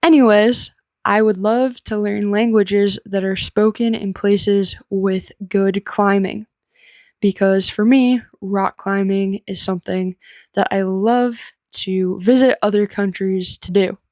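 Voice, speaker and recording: Slow-paced American woman, dry close sound. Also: mid-range voice, fairly even intonation, darkish tone, no echo. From United States. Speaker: English teacher. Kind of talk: slow, simple personal storytelling for language learners.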